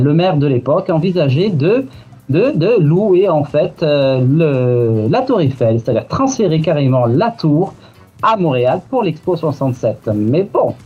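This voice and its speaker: A man's voice: on the high side, breathy edge, lo-fi sound. A man from Marseille answering interview questions, hesitant, with frequent restarts and laughs. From Marseille, France. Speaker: guest.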